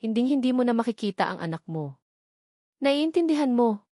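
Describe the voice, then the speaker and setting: A woman's voice: on the high side, very animated, moderate pace, dark tone, no room sound, studio quality. A woman voicing a wealthy young man in a Tagalog romance story, cold, scornful and bitterly accusing, with an authoritative manner. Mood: cold, final.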